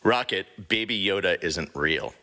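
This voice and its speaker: A man's voice: low-pitched, expressive, measured pace, bright, forceful tone, voice pushed down, heavily acted. A man playing an exasperated space outlaw leader. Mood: annoyed. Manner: exasperated, sarcastic. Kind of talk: fan fiction comic audio drama.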